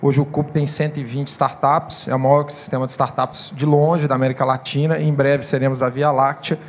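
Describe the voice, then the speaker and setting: A man's voice: mid-range voice, flat delivery, fairly quick, a little hiss or hum. A man with a Mineiro accent introducing himself on a conference panel, upbeat and playful. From Minas Gerais, Brazil.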